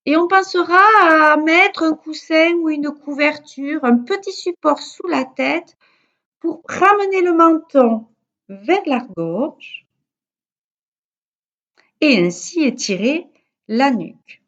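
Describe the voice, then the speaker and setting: A woman yoga teacher guiding a relaxation session in a calm, measured, soothing manner with pauses. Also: high voice, muffled recording. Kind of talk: guided yoga nidra relaxation instruction.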